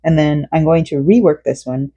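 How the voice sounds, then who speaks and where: A young woman vlogs from home while she crochets, tired and rambling, with lots of fillers and self-corrections. Her tone is mellow and soft, and the recording is close and dry with no room sound. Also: deep voice, normal speed, breathy edge, dry recording. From South Korea, raised in Florida. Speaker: vlogger. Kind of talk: casual personal vlog chat while crocheting.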